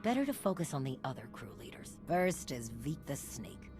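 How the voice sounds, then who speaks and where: An actress playing a brisk, worldly female underworld guide in a video game, wry and businesslike. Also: deep voice, sing-song, over music.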